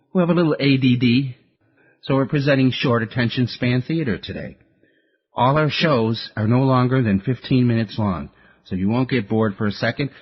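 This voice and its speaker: A man with a husky voice casually introducing a radio program as its host. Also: mid-range voice. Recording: slightly dull, muffled sound.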